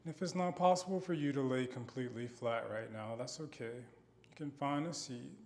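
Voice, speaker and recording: A man guiding a body scan meditation in a gentle, unhurried manner with long pauses. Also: medium pitch. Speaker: meditation guide.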